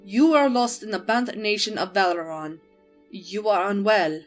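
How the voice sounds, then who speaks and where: A woman's voice: medium pitch, measured pace, faint music behind, close to an everyday voice. A woman playing a formal, composed female knight captain with a commanding manner in a fantasy audio drama. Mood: composed authority.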